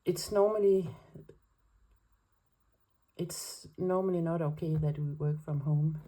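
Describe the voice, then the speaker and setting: A woman's voice: low voice, slow, dark tone, low-quality recording. A woman from Denmark with a Danish accent, speaking English as a second language, chatting hesitantly while setting up her weekly planner. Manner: hesitant, many fillers, careful. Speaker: planner vlogger. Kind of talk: casual chat while planning.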